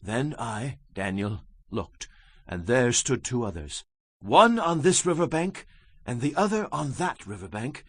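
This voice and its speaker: Solemn bible prophet, dramatic audiobook, voice swells and drops a lot, dry close sound. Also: male voice, medium pitch, big pitch swings, a little slow, fairly bright tone, husky, no room sound, full character voice. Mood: grave, awed. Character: solemn male prophet recounting apocalyptic visions. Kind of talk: dramatized scripture reading.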